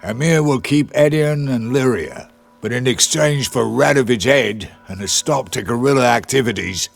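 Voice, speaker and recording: An actor playing a hard-bitten guerrilla commander in a game, with a sardonic, clipped delivery. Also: male voice, mid-range voice, expressive, moderate pace, creaky. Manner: sardonic, clipped, sometimes florid. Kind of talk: cinematic game voice acting.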